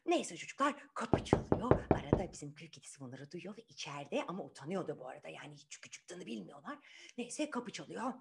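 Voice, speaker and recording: A woman telling a fairy tale to children in an animated, informal, conversational way, often putting questions to her listeners. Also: fairly low pitch, a little fast, gravelly, heavy background noise. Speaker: children's storyteller.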